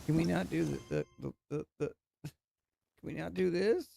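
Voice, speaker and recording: A man's voice: a little high, unhurried, gravelly, mellow, a little hiss or hum. A man casually commentating on a game livestream in blunt, slangy language with plenty of swearing.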